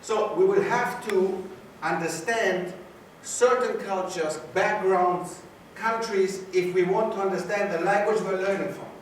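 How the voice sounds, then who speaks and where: A man's voice: high voice, measured pace, few pauses, slight background noise. A man giving a lecture to a student society, questioning the audience and repeating his key points. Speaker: guest lecturer. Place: lecture hall. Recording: very echoey hall from far off.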